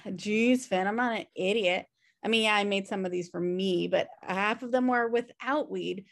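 A woman's voice: medium pitch, moderate pace, natural voice. An American woman reading the part of a flippant, irresponsible twin sister in a sitcom script. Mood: wry. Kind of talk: sitcom script table read. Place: indoor room, on a video call. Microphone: video call microphone.

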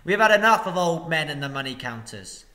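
Guy doing angry townsfolk yelling, high and loud, bright voice. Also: lively intonation, moderate pace. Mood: chanting. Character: angry crowd of lakeside townsfolk.

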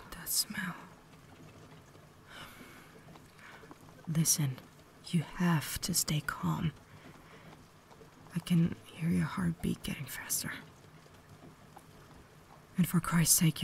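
Woman playing a whispering, cultured vampire lady. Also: low voice. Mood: tense, restrained. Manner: refined, formal, soft-spoken whisper. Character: cultured, caring vampire lady holding back her hunger. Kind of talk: whispered ASMR roleplay.

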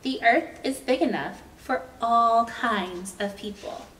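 A young adult woman reading a children's picture book aloud as a storytime host, with deliberate, expressive storytelling for young children. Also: a little high. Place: indoor room with painted mural. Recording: roomy, distant mic, faint noise.

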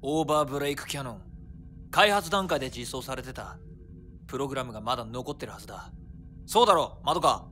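An actor playing a decisive squad leader who gives firm, commanding orders. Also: male voice, mid-range voice, animated, quick pace, bright, forceful tone, faint music behind. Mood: tactical.

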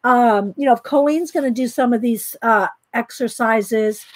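A chatty woman with a high, bright voice, hosting a live art stream and greeting viewers in chat by name. She reads aloud from a book and giggles often. The sound is close and dry, with no room echo. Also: moderate pace. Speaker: art livestream host.

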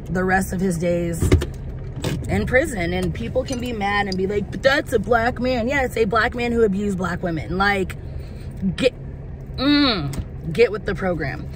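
A woman in her thirties talking bluntly in a casual vlog from her car, sometimes hunting for the right word. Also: medium pitch. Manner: blunt, candid, searching for words. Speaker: vlogger. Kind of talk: casual opinion vlog while driving. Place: parked car, outdoors traffic around. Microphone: phone camera on dashboard. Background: car and street noise.

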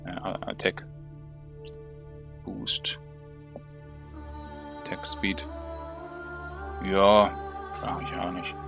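Swiss German man with a low voice. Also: little pitch movement, extremely slow, pauses often. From Switzerland. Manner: chatty, self-talking, hesitant with fillers. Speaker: gaming video creator. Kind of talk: casual gameplay commentary. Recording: game music under voice.

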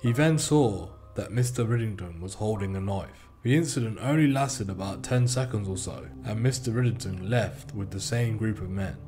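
Low-voiced British man narrating a crime case. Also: dark tone. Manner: measured, formal case-report reading. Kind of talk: scripted narration. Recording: faint music bed behind.

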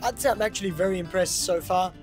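A man's voice: sits high, fast, soft background music. A man talking to camera in an upbeat, chatty travel vlog from inside a train cabin. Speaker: travel vlogger. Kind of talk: casual vlog talk to camera. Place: first-class train cabin, indoors.

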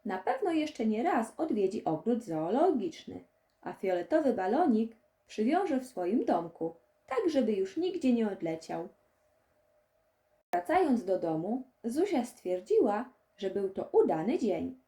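A woman reading a children's story as an audiobook narrator, in an expressive storytelling manner. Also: a little high, a little slow.

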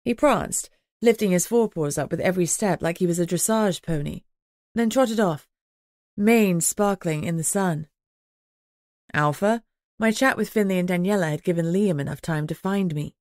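Low-pitched woman narrating an audiobook. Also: normal speed, a little mellow, dry recording, studio quality. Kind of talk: scripted first-person fiction narration.

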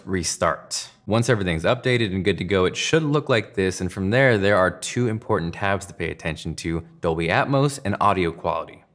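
A man in his thirties with a low voice and an American accent. He presents as a tech reviewer, walking through a scripted explanation to camera. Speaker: tech reviewer and video host.

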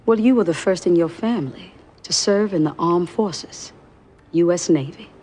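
A woman's voice: fairly low pitch, normal speed, dark tone, a little hiss or hum, natural voice. An actress in a film clip playing a determined young woman making a persuasive plea to a judge. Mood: pleading.

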